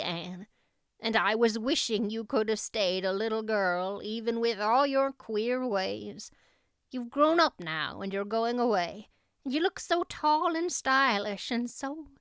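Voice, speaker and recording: A woman's voice: on the high side, fairly bright tone, clear, resonant tone, no echo, clean studio sound. A woman voicing a practical, reserved older country woman with a tender core, plainspoken and matter-of-fact, in an audiobook. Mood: wistful, lonesome.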